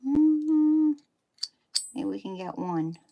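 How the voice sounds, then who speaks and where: A woman's voice: high-pitched, sing-song, drawn-out words, muffled recording. A woman with a Dutchy accent, chatting casually and poking fun at herself while she demonstrates sewing at home. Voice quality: chatty, self-deprecating, talks while working. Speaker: sewing livestream host. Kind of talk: casual sewing demo chat.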